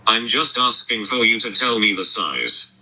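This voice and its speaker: A man's voice: lowish voice, animated, moderate pace, over a noisy crowd, rough recording. A synthetic, robotic male voice confronting someone on a call with blunt, clipped, insistent sentences.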